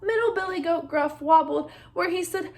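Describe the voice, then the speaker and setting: A woman's voice: very high voice, moderate pace, a little hiss or hum. A woman reading a storybook aloud to children in an interactive narrating style.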